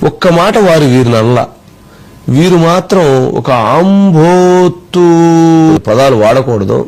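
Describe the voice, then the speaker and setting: A man giving political commentary, phrasing things deliberately and emphatically with repeated rhetorical questions. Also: on the high side, expressive, a little mellow. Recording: clearly noisy background with clicks.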